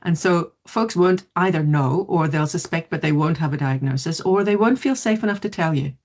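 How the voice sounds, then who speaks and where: Low-voiced South African woman presenting. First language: not English. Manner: lively, quick, laughs easily. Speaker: mindfulness teacher. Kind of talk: online presentation.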